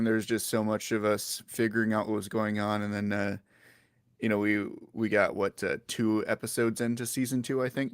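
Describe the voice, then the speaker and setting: Male co-host, lowish voice, very dry close sound, conversational creator commentary. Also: smooth, dry recording. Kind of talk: casual chat, commentary.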